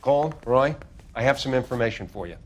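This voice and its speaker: Actor as dry, matter-of-fact American coroner. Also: male voice, medium pitch, animated, a little fast, a little hiss or hum, close to an everyday voice. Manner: dry, clinical, factual. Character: matter-of-fact police coroner reporting autopsy findings. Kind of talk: video game acting, 1940s detective drama.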